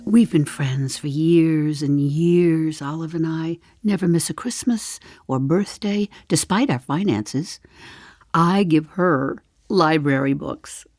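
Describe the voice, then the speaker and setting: An older actress playing a wry, chatty seventy-five-year-old woman in a darkly comic stage monologue, musing to the listener with deliberate pauses. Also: sits low, a little mellow, dry recording, studio quality, soft background music. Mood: dryly amused. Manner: deliberate, dramatic pauses, dropping to confiding asides. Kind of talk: theatrical monologue, storytelling to the listener.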